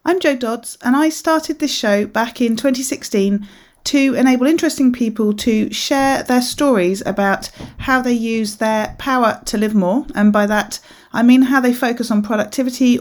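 A woman's voice: a little high, a little mellow. A woman hosting a podcast, reading her intro and then asking friendly, encouraging interview questions. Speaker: podcast host.

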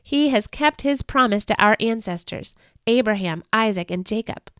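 A woman reading a storybook, voicing a gentle, tired young man who speaks earnestly and softly. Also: medium pitch, animated, normal speed, clean tone, dry recording, studio quality, lightly acted. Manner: earnest, soft-spoken.

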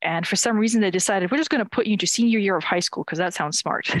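A woman's voice: mid-range voice, fast, dry recording. A woman in her twenties from Ukraine, a dancer answering interview questions in a candid way, laughing often and restarting her sentences. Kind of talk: casual interview.

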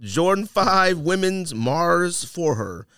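A man's voice: high-pitched, sing-song, slow pace, bright, forceful tone, clear, resonant tone, dry recording, studio quality. A man hosting a casual podcast chat about sneakers and sports, opinionated and slangy. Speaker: podcast host. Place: small podcast studio, indoors.

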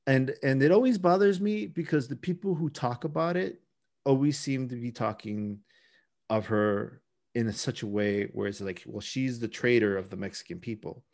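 A man's voice: mid-range voice, normal speed, a little mellow. A man co-hosting a podcast, discussing folklore casually, often circling back and repeating words as he thinks. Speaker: podcast co-host.

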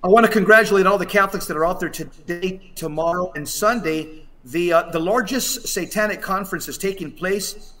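A man giving religious commentary as a podcast guest, speaking emphatically and haltingly with restated phrases, over a video call. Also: high voice, fairly bright tone. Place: hotel room, on a remote connection.

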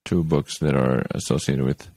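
A man hosting a podcast interview, casual and enthusiastic, quick with praise and informal asides. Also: very deep voice, steady tone, warm tone, husky, dry recording. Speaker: podcast host.

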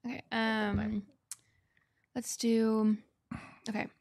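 Young american girl cohost, kinda high voice, super informal, short unsure replies. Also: monotone, drawn-out words, a little mellow. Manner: informal, tentative, short interjections. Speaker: co-host reading audience questions. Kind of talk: casual chat.

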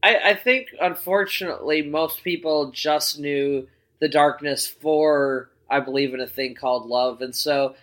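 A man's voice: a little high, steady tone, a little slow, a bit bright. A man chatting on a podcast, rambling through memories with plenty of fillers. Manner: rambling, many fillers and restarts. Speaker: podcast co-host. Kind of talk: casual podcast chat.

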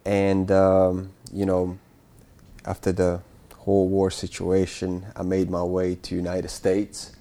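Bosnian-born male guest, deep and mellow, halting and reflective delivery. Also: completely flat delivery. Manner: halting, many fillers and restarts. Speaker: interview guest. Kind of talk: casual spoken recollection.

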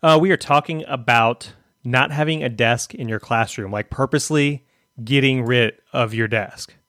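Man, podcast host, chatty, mid pitch, dry close sound. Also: normal speed, fairly bright tone, dry recording. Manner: chatty, conversational, many fillers. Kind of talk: podcast interview.

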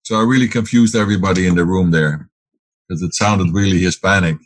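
A man's voice: deep voice, fairly even intonation, a little fast, no room sound. A middle-aged man whose first language is Dutch, answering interview questions in a rambling way, with many fillers and restarts. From Netherlands. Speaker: guest, business owner.

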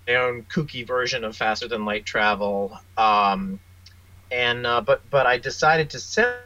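A man speaking as an interview guest, a writer telling wry, self-deprecating anecdotes in a casual, animated way. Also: mid-range voice, no echo, dull audio.

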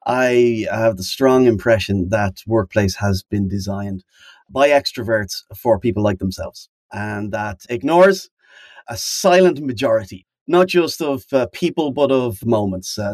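A man's voice: lowish voice, unhurried, a little mellow, no room sound. A man being interviewed on a podcast as a company founder, speaking in a thoughtful, wordy way and repeating words for emphasis. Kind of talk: conversational interview answers.

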